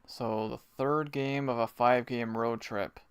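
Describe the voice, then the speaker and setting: A man's voice: medium pitch, fairly even intonation, unhurried, smooth. A Canadian man hosting a live hockey watch party, chatting casually, explaining the game and chuckling often. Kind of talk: casual live-stream chat.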